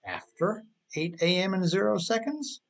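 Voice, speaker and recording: Higher-voiced man reading his own writing. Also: animated, moderate pace, gravelly. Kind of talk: scripted narration, audiobook reading.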